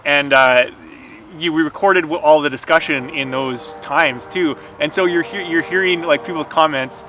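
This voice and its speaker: A man's voice: on the high side, normal speed, sharp tone, soft background music. A man chatting casually and agreeably as a guest on a vlog. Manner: conversational, agreeable. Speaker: guest co-writer. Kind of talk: casual chat.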